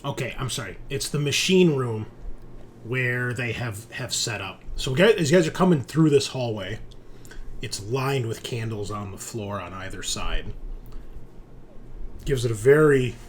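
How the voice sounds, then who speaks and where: A man narrating a tabletop roleplaying game as game master, improvising in a halting way and pausing mid-sentence to think. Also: medium pitch, lively intonation, a little hiss or hum.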